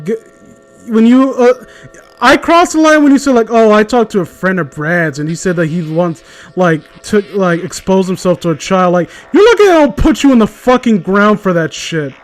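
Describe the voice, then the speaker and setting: A man's voice: high voice, animated, normal speed, faint music behind. A man chatting casually in a blunt, opinionated way with plenty of swearing. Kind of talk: casual chat.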